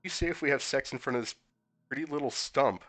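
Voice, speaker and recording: A hoarse man streaming a horror visual novel, reading the dialogue aloud and adding sarcastic comments, sometimes jumping into high-pitched disbelief. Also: fast, a bit bright. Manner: casual, sarcastic commentary with sudden high-pitched incredulous outbursts. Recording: music playing behind the voice.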